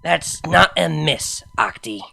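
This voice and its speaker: A woman voicing a wry, slightly tipsy female pony who answers in a dry, weary deadpan in a story. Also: low-pitched, slow pace, gravelly, faint music behind, exaggerated voice. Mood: dry, patient.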